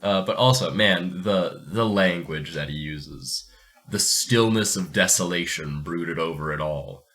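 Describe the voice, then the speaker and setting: A man's voice: sits low, unhurried, fairly bright tone. A man co-hosting a podcast, moving between casual chat and dramatic reading of a story.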